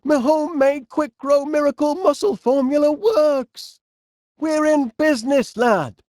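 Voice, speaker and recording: A man's voice: very high-pitched, measured pace, darkish tone, dry recording, studio quality. A man playing a cheerful, bumbling middle-aged inventor in a comedy game, with a Northern English accent and a politely flustered, hesitant manner. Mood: cheerful, eager. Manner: hesitant, stammering politeness with quaint exclamations. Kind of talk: animated comedy game voice acting.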